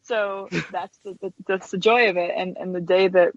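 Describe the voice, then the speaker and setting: A woman's voice: mid-range voice, fairly even intonation, moderate pace, no echo. A chatty woman guest, an animator explaining her craft casually in an interview over dull web audio. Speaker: guest stop-motion animator and puppet maker.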